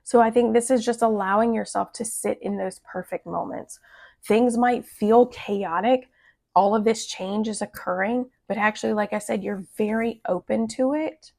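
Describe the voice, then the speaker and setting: A woman with a raspy, hoarse voice giving a casual tarot forecast, often adding "like" and "right?". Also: a little high, fairly even intonation, moderate pace, warm tone. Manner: chatty, often adds "like" and "right?". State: hoarse from a cold. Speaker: tarot reader.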